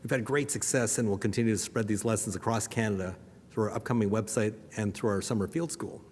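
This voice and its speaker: A man delivers prepared remarks at an exhibit opening in a steady, measured way. His voice sits on the low side. A long reverb trails each phrase, and a faint noise runs beneath him. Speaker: education project presenter.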